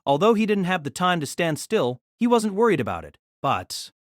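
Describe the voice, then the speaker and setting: A narrator reading a novel aloud in an expressive storytelling manner. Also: medium pitch, moderate pace, dry recording, clean studio sound.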